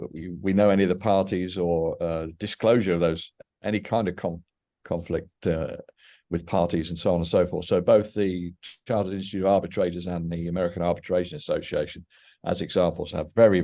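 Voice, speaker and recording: A man's voice: low-pitched, mellow. A man who is an arbitrator moderating a webinar panel, speaking hesitantly with restarts.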